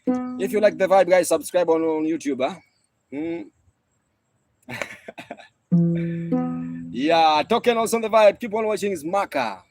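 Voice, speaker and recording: A Kenyan man with a Kenyan accent, giving playful, drawn-out shout-outs to viewers during a live music stream. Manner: drawn-out, playful, breaks into laughs and high whoops. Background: loud traditional string music with rattles.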